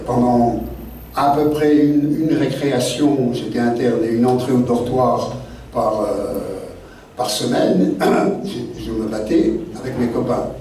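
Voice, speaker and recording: An older man, a guest author seated at a desk microphone, telling memories in a halting, digressive way full of fillers. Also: medium pitch, mellow, slight background noise. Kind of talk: rambling spoken memoir talk. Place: literary café meeting room. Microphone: desk mic on stand, seated. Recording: very echoey room, mic at a distance.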